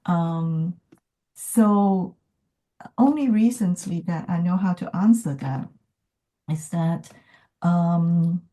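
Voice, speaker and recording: A woman from Hong Kong, whose first language is not English, speaking in a thoughtful podcast conversation with many pauses and fillers. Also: fairly low pitch, warm tone, rough recording. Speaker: podcast guest. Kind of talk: reflective conversation.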